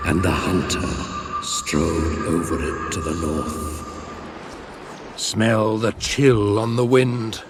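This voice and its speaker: An actor playing an ancient, brooding, scornful pagan shaman spirit in an incantatory radio drama monologue. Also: low-pitched. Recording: 1980s radio broadcast, music underneath. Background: music.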